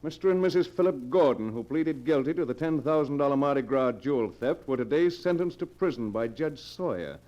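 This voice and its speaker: An actor playing a wealthy betrayed husband in an old radio drama, speaking in formal, pointed phrases. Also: male voice, mid-range voice, expressive, a little fast, few pauses, a little mellow, close to an everyday voice. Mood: bitter, sarcastic. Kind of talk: golden age radio drama acting. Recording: muffled old radio show recording.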